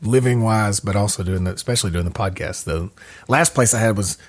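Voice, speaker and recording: Casting note: man, podcast host, low-ish voice, relaxed rambling home-studio chat. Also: brisk. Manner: easygoing, rambling with fillers. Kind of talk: casual chat.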